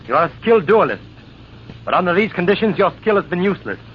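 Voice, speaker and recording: A man playing a coolly taunting, calculating cavalry officer in a measured, deliberate old radio drama style. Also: high voice, very animated. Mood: smug, firm. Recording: dull, faintly noisy old radio transcription.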